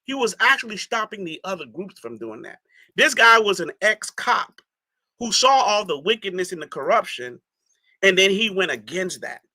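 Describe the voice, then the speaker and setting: A man's voice: sits high, sing-song, moderate pace, pushed and bright, dry recording. A man from the Bahamas, a guest in a casual interview, talking emphatically and often checking in with his listener. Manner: emphatic, repeats "you see what I'm saying".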